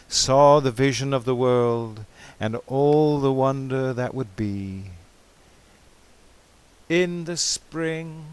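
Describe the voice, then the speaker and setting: A man giving a dramatic poetry recitation in a measured, theatrical, expressive way, with drawn-out phrases. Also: lowish voice. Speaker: poetry reader.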